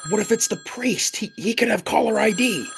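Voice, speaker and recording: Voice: medium pitch, brisk, vocal fry, a little hiss or hum, natural voice. An actor in an audio play voicing an anxious, exasperated hotel guest who sputters and hesitates wryly.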